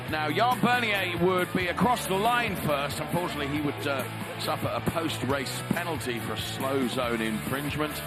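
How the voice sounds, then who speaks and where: A man giving live race commentary, pausing often on "uh" and rising with excitement at big moments. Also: mid-range voice. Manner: frequent "uh" fillers, excited rises.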